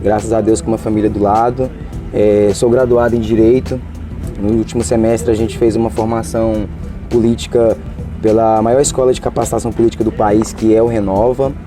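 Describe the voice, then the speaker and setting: A man's voice: on the low side, level tone, fairly quick, over music. A man, answering interview questions earnestly and hesitantly. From Tocantins, Brazil. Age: 20s. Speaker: interviewee, law graduate.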